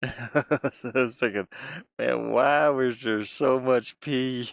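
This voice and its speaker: A man's voice: medium pitch, steady tone, slow, vocal fry, no room sound, studio quality. A man streaming casually, rambling and muttering to himself as he thinks aloud while he works on screen. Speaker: game-streaming host and hobby game designer. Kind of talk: casual livestream chat while working on screen.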